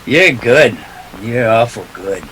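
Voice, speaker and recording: An actor playing a hard-boiled, wry private detective in a 1940s-style radio play, with clipped, slangy, sardonic delivery. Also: male voice, medium pitch, expressive, slow, a bit bright, slight background noise, low-quality recording. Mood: sardonic, probing. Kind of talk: 1940s radio noir acting.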